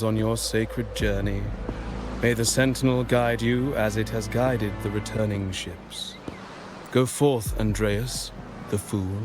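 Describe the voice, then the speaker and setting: Man acting a slow, solemn priest. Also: fairly low pitch, close to an everyday voice. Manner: slow, ceremonial, preaching. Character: solemn, pious male priest blessing pilgrims. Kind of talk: video game cutscene acting.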